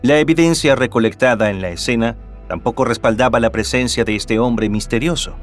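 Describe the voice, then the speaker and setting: A man narrating a true-crime documentary from a script in a measured, formal way. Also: mid-range voice. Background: background music.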